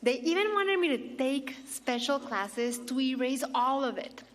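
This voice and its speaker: A woman's voice: high-pitched, lively intonation, unhurried. A middle-aged woman with a Mexican accent, hosting an awards ceremony with a prepared speech and playful asides. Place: large hall. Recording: long reverb of a big hall.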